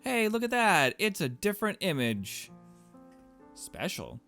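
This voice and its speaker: A man's voice: high voice, wildly swooping pitch, measured pace, sharp tone, soft background music. A man hosting a video game playthrough with casual, rambling commentary and loose conversational asides.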